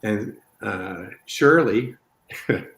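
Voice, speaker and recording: A man telling a brisk, casual anecdote about a former castmate. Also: lowish voice, animated, creaky, fairly bright tone. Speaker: guest, former crew member. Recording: roomy echo.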